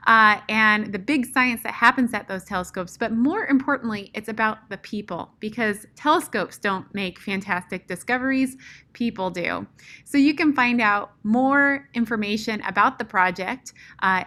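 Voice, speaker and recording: A woman's voice: a little high, moderate pace, sharp tone. A woman hosting an online webinar, giving an upbeat, announcing introduction with "uh" fillers. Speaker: host. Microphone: video call.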